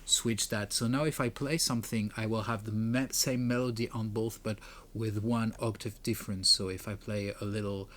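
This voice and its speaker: A man's voice: lowish voice, steady tone, moderate pace, fairly bright tone. A man presenting a music gear tutorial in a casual, explanatory way. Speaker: presenter demonstrating a music pedal. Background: keyboard and synth demo notes.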